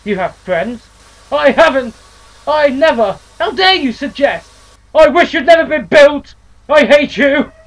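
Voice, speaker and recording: A man voicing a pompous, fussy male steam engine who is prim and quick to take offence. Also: very high voice, animated, voice pushed up, heavily acted, low-quality recording. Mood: furious. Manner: prim, fussy, plummy phrasing.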